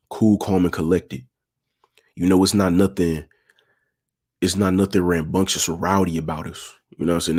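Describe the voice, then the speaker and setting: A young man with a raspy voice, talking in a laid-back, smooth way and repeating himself as he hosts a casual livestream. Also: low-pitched, darkish tone. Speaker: livestream host.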